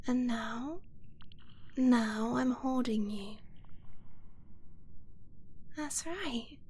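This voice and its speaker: A woman playing a tender, devoted dragon-blooded girlfriend in an intimate ASMR romance roleplay, soft and breathy, hesitant and affectionate, often slipping into a whisper. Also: sits high, a little hiss or hum, exaggerated voice. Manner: slow, hesitant, pleading and affectionate.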